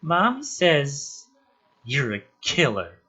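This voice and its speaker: A man's voice: a little high, huge pitch swings, extremely slow, pushed and bright, slightly rough, highs cut off, full character voice. A man voicing an earnest, blunt young boy in a film script read-through, innocent and matter-of-fact. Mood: earnest, curious.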